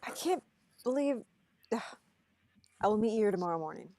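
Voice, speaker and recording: A woman's voice: medium pitch, animated, brisk, warm tone, lightly acted. A woman playing a skeptical, exasperated young man in a script table read, with a blunt delivery.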